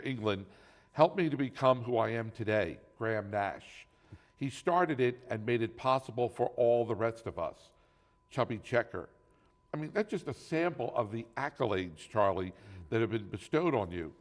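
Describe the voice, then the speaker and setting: Philly man, host, mid-pitch, casual interviewer with fillers. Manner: conversational, lots of filler and affirmations. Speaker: talk show host.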